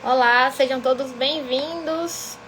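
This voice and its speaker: A woman's voice: high-pitched, slow, fairly bright tone, a little hiss or hum, poor audio. A woman from Salvador with a Bahian accent, an accountant teaching a weekly live class in a didactic way, often checking in with her viewers. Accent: Bahian Brazilian Portuguese. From Salvador, Bahia, Brazil. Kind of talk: live-streamed lesson.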